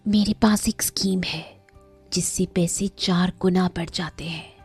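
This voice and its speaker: A woman plays a scheming rich lady in a moral storybook tale. She poses as a best friend with a coaxing, confiding manner. The voice is breathy, over faint music. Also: on the low side, steady tone, unhurried, a little mellow, pitched below the performer's own voice, full character voice. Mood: secretive, coaxing. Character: smooth-talking rich woman swindler posing as best friend.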